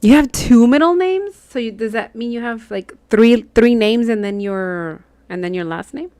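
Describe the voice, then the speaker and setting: A woman's voice: a little high, lively intonation, moderate pace, darkish tone. A Mexican American woman whose first language is Spanish, chatting casually with her live chat while she stitches and laughing often. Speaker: cross-stitch livestream host.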